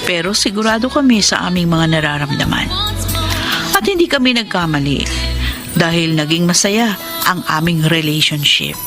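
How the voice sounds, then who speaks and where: An actress with a Filipino accent playing a devoted, reassuring wife in a radio drama, with a sincere, gently emphatic delivery. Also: female voice, fairly low pitch, animated, moderate pace, close to an everyday voice. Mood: heartfelt, reflective. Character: devoted, reassuring woman in love, later caring wife. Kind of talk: Filipino radio drama acting and letter narration. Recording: music under the voice.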